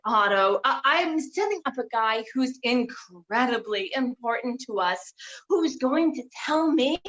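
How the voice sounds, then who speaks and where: Woman acting scornful scheming female, high voice with big swoops, deliberate pace, staged comedy. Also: a bit bright, highs cut off. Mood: exasperated, scornful. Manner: condescending, emphatic. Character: exasperated, scornful, scheming woman berating her dim partner.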